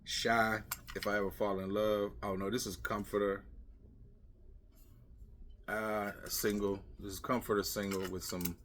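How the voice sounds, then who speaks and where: Male vlog host, middle-aged, fairly low pitch, off-the-cuff muttered asides, noticeably noisy recording with clatter. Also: flat delivery, a little slow, long pauses, fairly bright tone. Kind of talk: casual rambling vlog chat. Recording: clear background noise with clicking, clattering.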